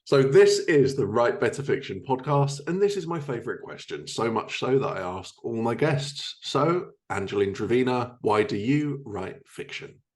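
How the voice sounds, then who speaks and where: A man's voice: medium pitch, sing-song, moderate pace, hardly any pauses. A man hosting a podcast interview in a casual, informal and encouraging way. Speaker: podcast host.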